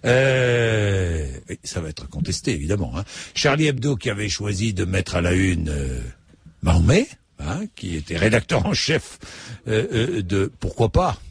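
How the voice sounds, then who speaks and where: A man's voice: sits low, very animated, measured pace, vocal fry, slightly rough, no room sound. A man hosting a talk radio phone-in, talking emphatically with frequent hesitations and asides. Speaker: radio talk show host. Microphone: studio microphone.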